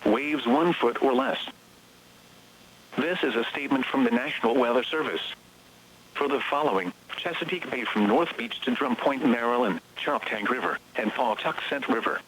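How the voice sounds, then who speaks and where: A man's synthetic voice reading scripted automated weather bulletins in a flat, measured way, as heard on a weather radio broadcast. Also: mid-range voice, a little hiss or hum. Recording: weather radio broadcast, slightly muffled sound.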